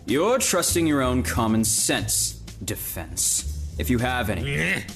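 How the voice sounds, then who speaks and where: A man's voice: mid-range voice, sing-song, slow, fairly bright tone, soft background music, full character voice. A man playing a sarcastic, condescending prosecutor in an audio comedy drama. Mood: scornful. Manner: condescending, emphatic. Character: haughty, sarcastic rival prosecutor, quick to take offence.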